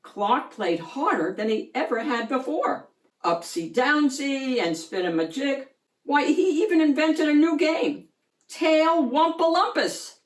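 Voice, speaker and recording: A woman reading a children's picture book aloud in an expressive storytelling style. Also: high voice, a little slow, echoey room.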